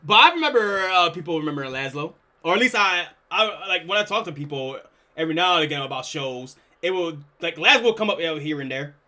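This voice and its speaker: A young man streaming, reacting casually to a video, excitable and swearing freely. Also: fairly high pitch, quick pace. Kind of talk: live reaction stream chat.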